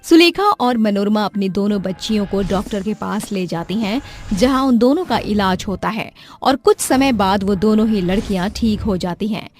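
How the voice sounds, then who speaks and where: A woman's voice: medium pitch, animated, moderate pace, few pauses, darkish tone. A woman narrator reading a scripted dramatic story for listeners. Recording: music behind the voice.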